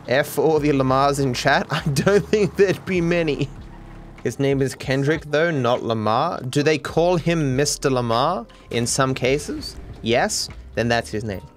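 A man's voice: mid-range voice, normal speed, slight background noise. A man with an Australian accent chatting casually to a live stream over gameplay, joking in a dry, sarcastic way. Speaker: video game streamer.

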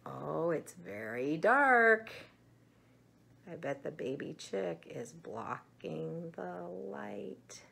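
A middle-aged woman chatting to young children in an animated, simple way in a room at home. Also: low-pitched, extremely slow, gravelly. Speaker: storyteller showing children books and eggs. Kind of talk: casual chat to children.

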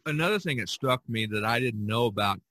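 Bright-voiced man hosting a casual podcast. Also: medium pitch, expressive, dry recording. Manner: halting, repeats words. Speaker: podcast host. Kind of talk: casual discussion.

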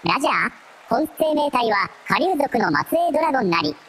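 A woman's voice: high-pitched, animated, fairly quick, a bit bright, breathy edge, exaggerated voice. A woman playing a coolly analytical assistant creature in a radio drama, reporting in clipped, formal phrases. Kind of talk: Japanese radio drama acting.

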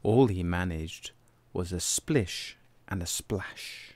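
A man reading a children's picture book aloud as an expressive, deliberate narrator. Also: deep voice, no echo. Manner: animated, deliberate. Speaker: storybook reader.

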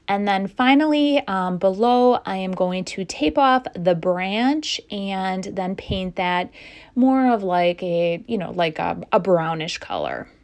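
A woman giving casual step-by-step painting tutorial narration, haltingly thinking aloud as she goes. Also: mid-range voice. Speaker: craft tutorial host.

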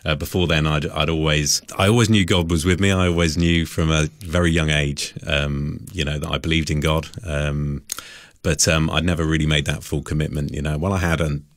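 A man with a southern English accent talks as a guest on a radio interview. His voice is extremely deep, and he feels his way through sentences, often rephrasing. The studio sound is dry, with no room echo. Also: level tone, fairly quick, few pauses. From Salisbury, southern England. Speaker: studio guest, singer-songwriter.

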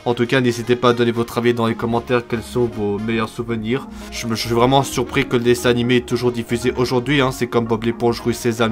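A man's voice: mid-range voice, fairly bright tone. Young man vlogging over music. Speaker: YouTube host. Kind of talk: casual TV news commentary for a video channel.

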